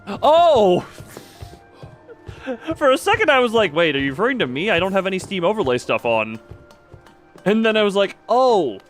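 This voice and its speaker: Animated, higher-voiced man streaming a game. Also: unhurried, long pauses, soft background music. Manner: playful, rambling, breaks into exclamations and silly sound effects. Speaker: live streamer. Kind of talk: casual live gameplay commentary.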